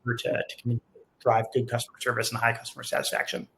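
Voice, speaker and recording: Guy co-hosting a webinar, mid pitched voice, casual, kinda rambles and thinks out loud. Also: monotone, quick pace, gravelly. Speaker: co-host of a company webinar, software company staff. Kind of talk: casual panel talk.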